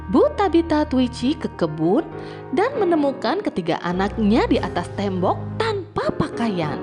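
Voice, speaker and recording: A woman narrating a scripted fairy tale for children in an expressive, deliberate read-aloud manner. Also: mid-range voice. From Indonesia. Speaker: children's storyteller. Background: music.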